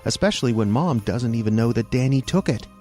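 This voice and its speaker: Casting: male narrator for a kids' radio serial, medium pitch, very animated storytelling, jingle-bell music underneath. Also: brisk. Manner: storyteller, addressing young listeners directly.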